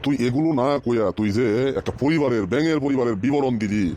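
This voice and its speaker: A man's voice: medium pitch, fast talker, warm tone, slight background noise, natural voice. A man playing a stern, exasperated village schoolteacher in a comic cartoon, lecturing and scolding in rural Bangladeshi Bengali. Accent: Bangladeshi Bengali, rural colloquial.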